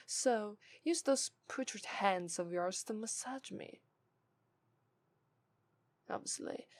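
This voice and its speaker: A woman's voice: medium pitch, darkish tone, natural voice. A woman with an Italian accent voicing a scornful demon femme fatale in an ASMR roleplay, condescending and drawing out her disdain. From Italy. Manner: condescending, drawn-out disdain, breathy asides.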